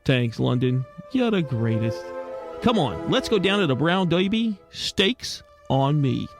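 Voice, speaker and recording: Man voicing a slangy self-doubting penguin gumshoe, high-pitched. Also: big pitch swings, a little slow, music in the background. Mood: grateful. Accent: American. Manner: slangy tough-guy talk. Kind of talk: 1940s radio detective acting and narration.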